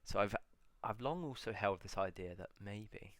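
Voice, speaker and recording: A man in a casual solo video, musing aloud as he reads out old titles slowly, with many pauses and fillers. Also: on the low side, fairly bright tone, no echo. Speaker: video blogger.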